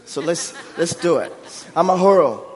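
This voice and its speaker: A man's voice: fairly high pitch, expressive, normal speed, noisy background. A man in his thirties from Burundi with a Burundian accent, preaching a sermon with humor and building emphasis. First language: Kirundi. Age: 30s. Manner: warm storytelling with self-deprecating humor, rising emphasis. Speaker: guest preacher. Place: church sanctuary. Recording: long hall reverb.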